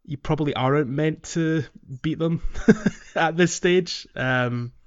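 A man's voice: on the high side, slow pace, clear, resonant tone. Man chatting, slightly dull audio. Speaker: podcast host. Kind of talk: casual video game discussion.

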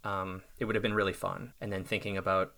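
A man in his thirties, a self-employed software developer, talking casually and thoughtfully about his work, often restarting his sentences. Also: low voice, level tone, fast, creaky. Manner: thoughtful, reflective, with restarts and small laughs. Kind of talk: casual podcast conversation.

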